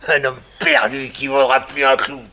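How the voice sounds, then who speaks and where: An older man playing a mad, vengeful murderer who gloats theatrically, with a gravelly, husky voice, in a 1960s French radio crime drama. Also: medium pitch, little pitch movement, moderate pace, sharp tone, a little hiss or hum. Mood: bitter gloating.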